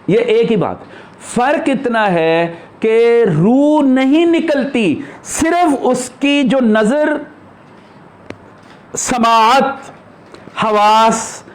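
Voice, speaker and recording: Male spiritual teacher, distinctly high-pitched. Informal sermon delivery, explanatory and emphatic. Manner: emphatic, explanatory, with rhetorical questions. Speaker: spiritual teacher answering followers' questions. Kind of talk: informal religious discourse.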